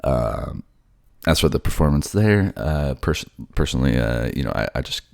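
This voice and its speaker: A sleepy man, a voice actor chatting casually in a hesitant, low-key, apologetic way. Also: big pitch swings, moderate pace, gravelly, darkish tone, no echo, studio quality. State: sleepy, just woke up. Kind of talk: casual outro chat and soft narration.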